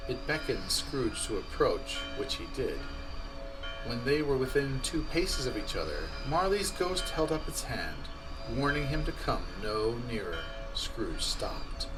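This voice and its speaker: A man's voice: medium pitch, rough recording. A man narrating a ghost story radio play as dramatic scripted storytelling.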